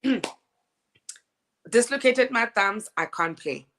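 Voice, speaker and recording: A woman with a deep speaking voice, an opera singer telling her story in a lively, laughing, stop-start way. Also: very slow. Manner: animated, laughing storyteller with frequent restarts. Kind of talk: casual interview chat.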